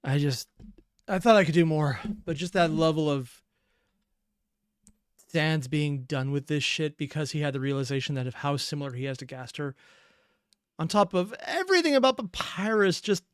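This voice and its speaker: A reaction video host giving casual commentary in a halting, emotionally invested way. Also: mid-range voice, animated, smooth, no echo, studio quality.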